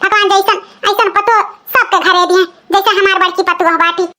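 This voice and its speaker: A performer playing an excitable, pious old mother-in-law who exclaims and fusses in a comic cartoon, with an Awadhi accent. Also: very high-pitched, normal speed, a little hiss or hum. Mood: approving, excited. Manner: exclamatory, fussy.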